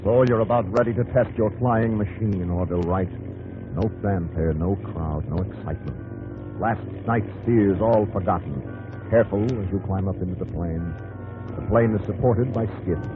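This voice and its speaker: A man's voice: low voice, natural voice. Guy doing old 50s radio drama narrator, solemn dramatic intimate, thin tinny broadcast w music. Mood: hushed, tense. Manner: dramatic, measured, with terse phrases. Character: solemn male narrator speaking intimately to the hero. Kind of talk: 1950s radio drama narration in second person.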